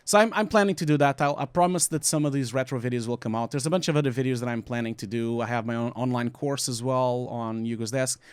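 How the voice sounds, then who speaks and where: A man's voice: mid-range voice, fast talker, few pauses, clean tone, no room sound. A man in his forties with a Portuguese accent, chatting as a podcast co-host in an informal, rambling, joking way. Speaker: podcast co-host, visual effects supervisor. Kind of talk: casual podcast chat.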